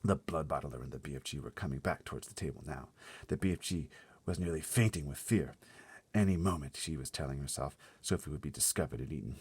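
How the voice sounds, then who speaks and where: A man's voice: a bass voice, fast talker, darkish tone, husky. A man reading a children's story aloud expressively, with dramatic delivery and brief playful asides. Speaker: story reader.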